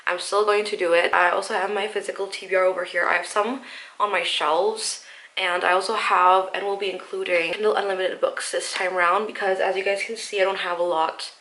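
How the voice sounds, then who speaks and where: A woman's voice: medium pitch, fairly even intonation, fairly quick, a bit bright, rough recording. A young woman vlogging about books, nasal and stuffed up from the flu, chatty and explaining each pick step by step. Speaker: book vlogger. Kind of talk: casual vlog talk to camera.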